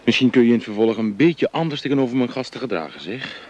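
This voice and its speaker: An actor playing a possessive, coaxing artist boyfriend in a radio drama, patronizing and teasing in manner. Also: male voice, medium pitch, expressive, fast talker, dull audio, slight background noise, close to an everyday voice. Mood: placating.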